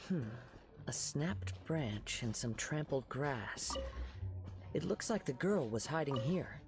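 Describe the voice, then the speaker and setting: An actor voicing a calm, observant young male swordsman in a video game, with a measured, thoughtful delivery. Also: mid-range voice, music in the background.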